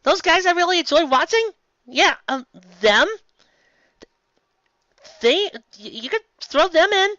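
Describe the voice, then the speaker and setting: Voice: very high voice, lively intonation, takes it slowly, long pauses, pushed and bright, dry recording. A fan fiction reviewer giving a mocking dramatic reading with theatrical, exasperated commentary and sudden outbursts. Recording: dull lo-fi recording.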